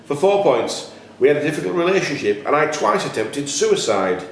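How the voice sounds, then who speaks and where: A man working as a quiz host, reading questions aloud in a deliberate, enunciated way. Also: fairly high pitch, big pitch swings, vocal fry. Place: echoey indoor room at a cricket club. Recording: very echoey room, faint background noise.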